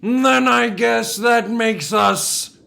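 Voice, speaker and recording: A man's voice: extremely high pitch, very slow, vocal fry, bright, forceful tone, exaggerated voice. A man playing a sly, unruffled admiral in an audio comedy drama, with a drawling, teasing, theatrical delivery.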